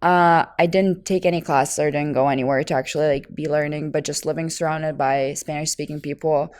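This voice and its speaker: A woman's voice: sits low, fairly even intonation, fairly quick, darkish tone, smooth. A young woman who grew up in Lithuania and speaks English as a later language, chatting as a podcast guest and laughing often as she tells stories. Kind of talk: casual interview chat.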